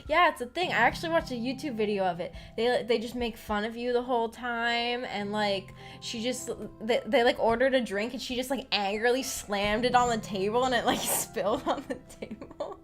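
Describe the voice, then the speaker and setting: A woman's voice: fairly high pitch, moderate pace, hardly any pauses. A woman streamer chatting casually, giggly and hesitant, rambling and repeating her words. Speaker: VTuber streamer hosting a visual novel book club. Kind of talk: casual livestream chat. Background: background music.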